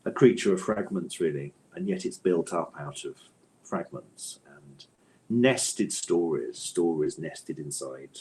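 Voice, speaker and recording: A man's voice: sits low, animated, unhurried, a little mellow, a little hiss or hum, poor audio. A man being interviewed as an author, talking conversationally in a thoughtful, hesitant way and often circling back to rephrase.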